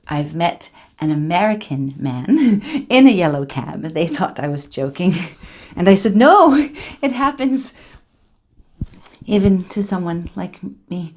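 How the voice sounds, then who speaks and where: A woman's voice: deep voice, warm tone, heavily acted. A woman performing a dramatic monologue as an earnest, emotional survivor, confiding and hesitant in direct address. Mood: fond, wistful.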